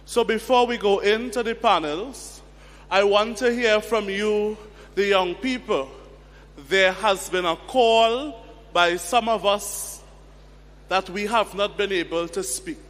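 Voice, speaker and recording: Slow high-voiced male moderator. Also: fairly bright tone. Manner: slow, prompting the audience. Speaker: session chair. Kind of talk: moderating a youth panel. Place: large conference hall. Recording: hall reverb.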